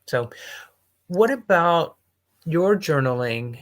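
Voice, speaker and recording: A man's voice: fairly high pitch, sing-song, slow pace, a bit bright. Reflective male host thinking aloud. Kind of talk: casual conversation.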